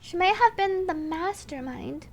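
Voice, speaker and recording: A woman streamer giving expressive live reactions to a game and reading subtitles aloud over game music. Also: very high voice, a little slow.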